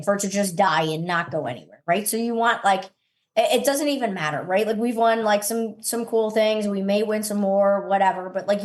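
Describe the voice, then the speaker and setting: Chatty woman, casual podcast guest. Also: medium pitch. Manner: chatty, tags points with "right?" and "you know what I mean". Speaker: interview guest, champion cornhole player. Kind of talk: casual podcast interview answer.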